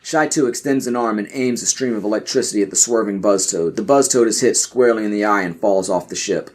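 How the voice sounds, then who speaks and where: A man's voice: on the low side, fast talker, darkish tone. A man doing scripted narration for a podcast audio play, reading out stage directions.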